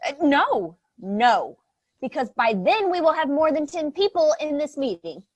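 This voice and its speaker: Casting: actress as alarmist woman in farce, very lively high voice, close dry sound, anxious and repetitive. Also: measured pace, no room sound, dull audio. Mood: panicked. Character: panicky, overanxious woman on theater board. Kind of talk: farcical comedy acting.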